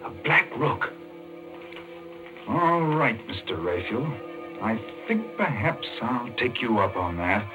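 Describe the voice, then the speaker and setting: An actor playing an earnest, indignant doctor in a 1940s radio mystery, with urgent, clipped, demanding delivery. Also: male voice, mid-range voice, big pitch swings, slow pace, pauses often, phone-quality sound, music in the background. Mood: grimly determined. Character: earnest, indignant male doctor caught up in murder.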